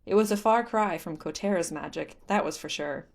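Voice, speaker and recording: A woman's voice: lowish voice, moderate pace. A woman reading scripted story narration as the narrator of a fan fiction audiobook.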